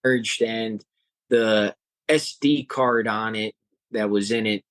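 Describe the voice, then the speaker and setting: A young man, a guest on a podcast, talking earnestly and haltingly with many restarts. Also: fairly low pitch, steady tone, a bit bright, no room sound. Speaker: guest, home cook and hiker. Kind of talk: casual interview chat.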